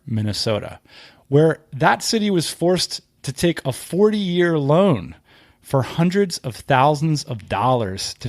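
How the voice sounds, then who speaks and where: A man's voice: mid-range voice, no echo, clean studio sound. A man hosting a podcast, introducing the show in a conversational way. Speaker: podcast host.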